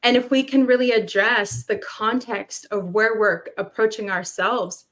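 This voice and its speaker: A woman's voice: on the high side, fairly even intonation, a little slow, a bit bright. Earnest woman reflecting on a panel. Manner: earnest, reflective, emphatic on key words.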